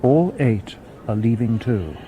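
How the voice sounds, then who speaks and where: An actor playing a polite, logical humanlike robot detective in a radio drama, with formal, precise speech. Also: male voice, mid-range voice, expressive, takes it slowly, background noise. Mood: calm, watchful. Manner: formal, precise, unemotional wording.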